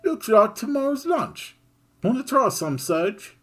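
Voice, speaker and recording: Player doing a loud brash girl thief from a game, high and husky, swings all over the place. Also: big pitch swings, measured pace. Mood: sassy. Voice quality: slangy, loud and swaggering. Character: brash tough young woman thief. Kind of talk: reading game dialogue aloud.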